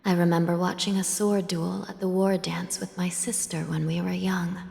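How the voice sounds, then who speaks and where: A woman voicing a soft-spoken, wistful resident of the netherworld in a video game, with a measured, breathy, reflective delivery. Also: on the low side, level tone. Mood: reminiscing. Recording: reverberant hall, game music behind.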